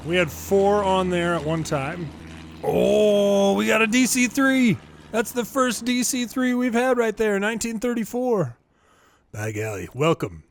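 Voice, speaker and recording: A man's voice: on the high side, a little hiss or hum. An American man giving casual, folksy gameplay commentary and explanations as a former controller hosting a gaming video.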